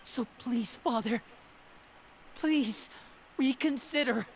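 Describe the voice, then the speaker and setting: A man's voice: very high voice, takes it slowly, full character voice. Guy voicing earnest young man in a game, begging politely, dramatic, bit of noise behind. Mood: pleading, earnest. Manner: earnest, pleading, formal. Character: earnest young man pleading with his stern parent. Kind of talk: dramatic video game acting.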